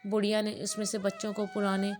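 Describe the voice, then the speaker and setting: A woman storyteller narrates a scripted moral tale. Her voice sits at an ordinary middle pitch for a woman, and music plays clearly behind her. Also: completely flat delivery, brisk. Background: background music.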